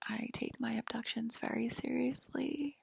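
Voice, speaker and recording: A woman whispering softly and soothingly in an improvised ASMR sci-fi roleplay, playing a calm alien abductor tending to a patient. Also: a little high, dry recording. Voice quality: breathy whisper. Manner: soft, slow, soothing. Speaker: ASMR performer. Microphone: close microphone, dry.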